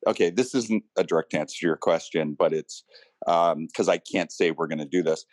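A man talking casually as an interview guest, in a hesitant, halting manner. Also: low voice, no room sound, clean studio sound. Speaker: company team member being interviewed.

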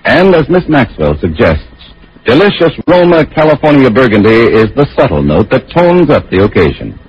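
A male announcer delivers a 1940s radio commercial. His voice is very raspy and highly animated, with polished emphasis, on a dull vintage recording. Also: moderate pace. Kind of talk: 1940s radio advertisement reading.

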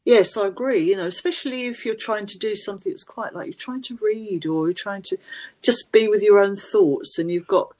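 A woman co-hosting a podcast conversation, her voice at a middle pitch for a woman. She teases drily and often laughs as she talks. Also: a little fast, darkish tone. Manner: dry, teasing, laughs through lines. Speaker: podcast co-host. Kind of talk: casual chat.

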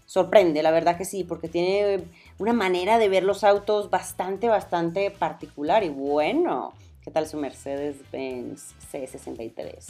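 Colombian-born woman podcast host, lower voice, rambly sign-off, faint music under. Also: unhurried, dark tone. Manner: chatty, rambling, self-deprecating. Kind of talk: solo outro to camera.

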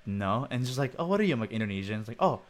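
A man's voice: mid-range voice, sing-song, very fast. A young man hosting a casual chat, reading out listener comments and laughing often. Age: young adult. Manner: laughs often, upbeat and chatty. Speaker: host.

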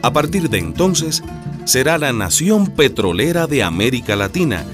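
A man's voice: mid-range voice, very animated, moderate pace, natural voice. A man as the solemn narrator of a historical radio drama, reading a scripted account. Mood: solemn narration. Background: music.

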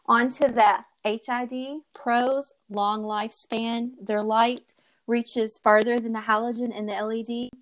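A woman co-hosting a casual podcast, friendly and upbeat, with quick agreeing interjections. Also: fairly high pitch, poor audio. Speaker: co-host.

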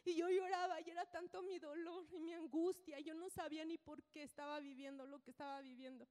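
High-voiced woman preaching in a reverberant hall. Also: brisk. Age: 50s. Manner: animated, colloquial, repeats phrases, acts out dialogue. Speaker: church speaker giving testimony. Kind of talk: testimony preached to a congregation, storytelling. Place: large indoor hall, speaking to an audience. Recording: church hall with long reverb.

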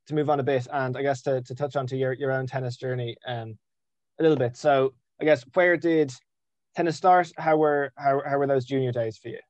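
A man interviewing a guest, asking halting questions with fillers. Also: mid-range voice, steady tone, a little mellow, dry recording. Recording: dry lo-fi audio.

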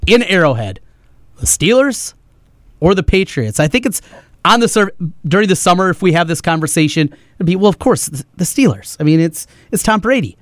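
A man's voice: high-pitched, big pitch swings, brisk, fairly bright tone, no room sound. A man co-hosting a sports radio show, talking through games in studio banter on a close microphone. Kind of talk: sports talk banter and commentary. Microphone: close studio broadcast microphone.

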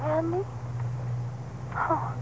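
An actress playing a frail, weary, distrustful wife in a hospital bed, hesitant and plaintive, in a 1950s radio drama. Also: female voice, very high-pitched, fairly even intonation, very slow, full character voice, rough recording. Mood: weary, wary. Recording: thin vintage radio, faint noise.

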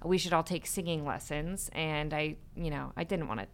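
A woman from Texas with a light Texas accent, chatting casually as a guest and telling animated stories. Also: low voice, moderate pace, a little hiss or hum. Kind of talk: casual chat. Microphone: close to the microphone.

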